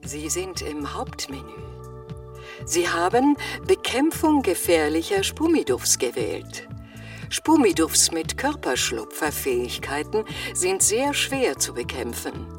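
A woman playing a formal automated menu voice in a children's radio play, measured and officious. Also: sits low, sing-song, heavily acted. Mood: formal, polite. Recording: distant voice over clear music.

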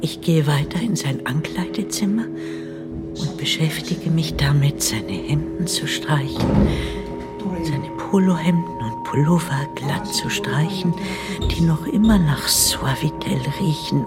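An older woman playing the ghost of a nanny in a radio drama, breathy and often half-whispering, measured and intimate, tender yet bitter. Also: low voice, lively intonation. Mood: tender, haunted. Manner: measured, hushed, intimate delivery. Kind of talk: literary radio drama monologue. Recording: music bed behind the voice.

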